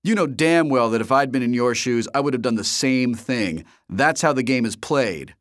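A man voicing an excitable young man, the narrator's loud, gushing best friend, shouting over the top with excitement. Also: mid-range voice, normal speed, no echo, clean studio sound, voice pushed up, lightly acted. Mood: serious. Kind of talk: audiobook character voice.

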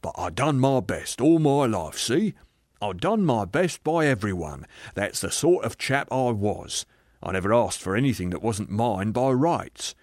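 A man's voice: fairly low pitch, sing-song, unhurried, darkish tone, no echo, clean studio sound. A man voicing a sulky, self-righteous working-class ghost in a dramatised audiobook, argumentative and drawn out in his emphasis. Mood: indignant, self-justifying.

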